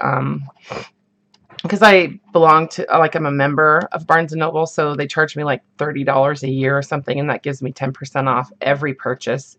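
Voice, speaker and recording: Husky, chatty woman with a gravelly edge. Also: sits low, a bit bright. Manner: chatty, rambling, many ums and likes. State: morning voice. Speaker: craft vlogger. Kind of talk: casual show-and-tell chat.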